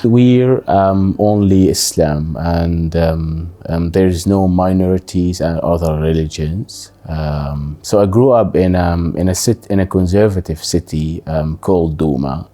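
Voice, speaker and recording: Man, 30s, interviewee; low mellow voice, halting and thoughtful. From Syria. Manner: halting, thoughtful, many pauses. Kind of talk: personal interview, life story.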